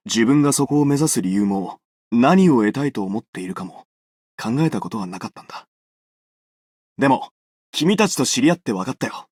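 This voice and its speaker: A man's voice: mid-range voice, a little mellow, no room sound, studio quality. An actor voicing an earnest young male adventurer in a scripted game line. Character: earnest young male adventurer who found his purpose.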